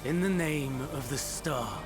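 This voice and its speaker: A man playing a solemn, coldly reasoning overseer in a video game, speaking in a formal, measured way over music. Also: medium pitch, close to an everyday voice. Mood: grave, doubting. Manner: measured, formal, grave, deliberate pauses. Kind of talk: dramatic video game acting. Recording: music behind the voice.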